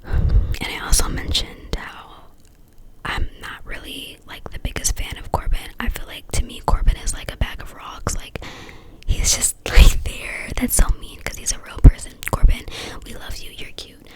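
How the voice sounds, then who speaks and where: A woman speaking in a breathy whisper, giving casual, opinionated commentary in slangy, informal phrasing, in an ASMR-like style. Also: moderate pace, rough recording. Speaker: vlogger reviewing a reality dating show.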